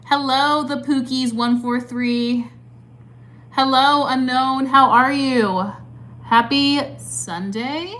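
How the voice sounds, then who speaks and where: A woman's voice: high-pitched, fairly even intonation, takes it slowly, fairly bright tone. A woman in her twenties chatting casually while doing her makeup and answering live chat, upbeat, with plenty of "like" and "um". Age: 20s. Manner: chatty and upbeat, filler-heavy with many "like" and "um".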